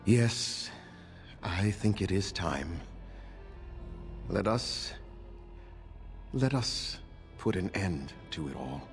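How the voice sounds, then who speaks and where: An actor voicing a weary, regretful ancient elf mage in a fantasy game, grave and halting, with a creaky voice. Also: male voice, sits low, lively intonation, soft background music, full character voice. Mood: resigned. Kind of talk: fantasy video game acting.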